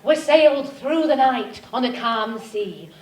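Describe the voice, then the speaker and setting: A solemn seafaring narrator, played by a woman, heard far from the mic on an echoey stage. The storytelling is very slow and measured, like scripted radio drama. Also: high-pitched. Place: arts centre stage before live audience. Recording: distant, echoey live stage, slight noise.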